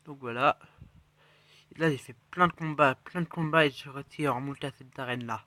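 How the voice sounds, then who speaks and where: A man with a cold giving rambling, excitable commentary on his mobile game matches and chest openings. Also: mid-range voice, fairly quick, no echo. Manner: rambling, excitable, self-correcting, drops to mutters. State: has a cold. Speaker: mobile game channel host. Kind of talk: casual gameplay commentary.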